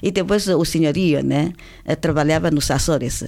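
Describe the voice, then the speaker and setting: An older woman, a native Chinese speaker, speaks Portuguese with Chinese and faint Brazilian accent traces, talking in a halting way with many restarts and tag questions as an interviewee. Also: deep voice, gravelly, a little mellow. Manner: halting, with frequent restarts, "né?" tags and laughter. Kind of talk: conversational interview.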